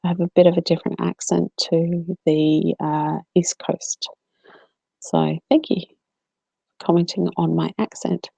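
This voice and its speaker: South aussie woman co-hosting a live chat, lowish gravelly voice, lots of ums. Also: fairly even intonation, a little slow, mellow, no room sound, low-quality recording. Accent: South Australian. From South Australia. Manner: chatty, many ums, answers viewers by name. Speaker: co-host. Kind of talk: casual live-stream Q&A.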